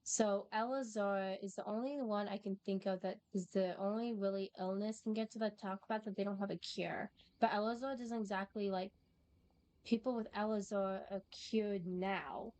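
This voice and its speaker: A woman's voice: mid-range voice, steady tone, normal speed, darkish tone, clear, resonant tone. A woman reacting casually as she streams a game, chatty and exclamatory with muttered asides. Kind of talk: casual live gameplay reaction.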